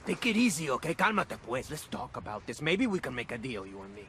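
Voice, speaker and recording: A man's voice: mid-range voice, very animated, fast, noisy background, close to an everyday voice. A man playing a nervous, slippery captured smuggler who pleads and mixes Spanish phrases into English in a video game. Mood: anxious pleading. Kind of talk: dramatic video game acting.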